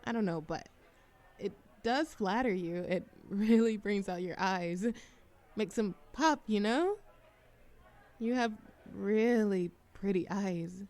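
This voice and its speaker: A woman's voice: medium pitch, takes it slowly, darkish tone. A woman playing a shy, socially anxious young woman in a café audio roleplay, chatting in a hesitant, self-deprecating ramble. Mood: flustered, hopeful. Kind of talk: roleplay ASMR audio drama, conversational monologue.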